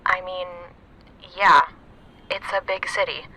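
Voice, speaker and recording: A man voicing a quick, casual young hacker guiding the team over comms. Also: high voice, fairly even intonation, sharp tone, a little hiss or hum, pitched above the performer's own voice, exaggerated voice, low-quality recording. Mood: urgent.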